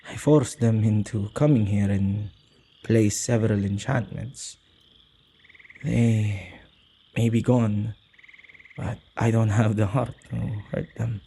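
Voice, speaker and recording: A man acting a soft-hearted male witch who keeps ghosts, in an ASMR roleplay, with a halting, gently apologetic manner and slightly archaic wording. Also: lowish voice, fairly even intonation, creaky, a little hiss or hum. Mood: somber, hesitant.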